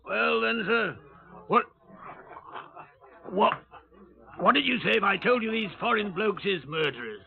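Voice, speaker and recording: High-pitched wheedling Cockney informant. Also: male voice, lively intonation, a little slow, vocal fry, pauses often, full character voice. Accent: London Cockney. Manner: ingratiating, deferential. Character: wheedling male pub informant, hard up and cheeky. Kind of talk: 1950s radio drama acting. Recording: tinny old radio broadcast recording.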